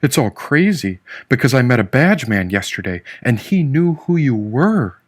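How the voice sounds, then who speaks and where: A man's voice: medium pitch, sing-song, normal speed. A man voicing an anxious, disbelieving young worker with an urgent, questioning way of talking, as an audiobook character. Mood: bewildered.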